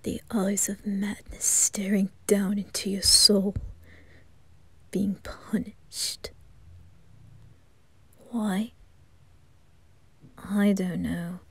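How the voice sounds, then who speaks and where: A worried young noble boy, protective of his sister, played by a woman in a fan audio drama. He speaks in a slow, hushed whisper that stumbles over words, the tone mellow and dark. Dry and close to the ear. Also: mid-range voice, fairly even intonation, husky, no echo. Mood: troubled. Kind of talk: fan ASMR roleplay.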